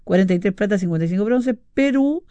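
A middle-aged Uruguayan woman hosts a relaxed radio show, chatting freely and reading listener messages with frequent fillers and little laughs. Her voice is dark and mellow, recorded dry and close with no room around it. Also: medium pitch, fast talker, no echo, dull audio. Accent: Uruguayan Spanish. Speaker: radio host.

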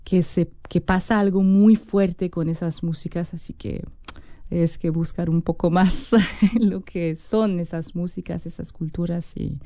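A French woman speaking Spanish with a French accent as a musician guest in an interview, pausing and restarting as she searches for words. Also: fairly low pitch, fairly even intonation, mellow, no room sound. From France.

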